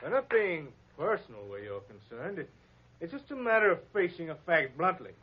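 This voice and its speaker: An actor playing a big, blunt, hearty bearded sculptor, in 1940s radio acting on a dull lo-fi old radio recording with faint noise. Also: male voice, sing-song, fairly quick. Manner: blunt, booming laughter.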